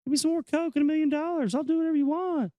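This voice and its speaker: A man's voice: very high voice, fairly even intonation, rapid-fire, mellow, no echo, clean studio sound, pitched above the performer's own voice. A man voicing an eager, agreeable young music star who will do anything for money and drugs. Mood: mock eagerness.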